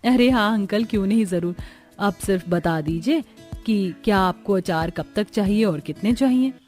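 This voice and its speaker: A woman voicing hardworking, excitable young village daughters-in-law in a Hindi cartoon story, with an expressive, exclaiming delivery. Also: mid-range voice, normal speed, soft background music, lightly acted. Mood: eager.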